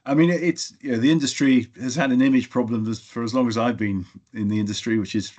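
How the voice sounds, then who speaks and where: A man's voice: medium pitch, steady tone, quick pace, a little mellow. A middle-aged man hosting an industry podcast, affable, asking questions and now and then tripping over a word. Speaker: podcast host. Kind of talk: interview hosting.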